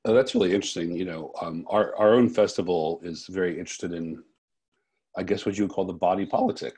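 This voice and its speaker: A man hosting an unscripted interview, speaking haltingly and rephrasing his questions as he goes. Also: low voice, fairly even intonation, dark tone, slightly rough. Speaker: interviewer and festival host.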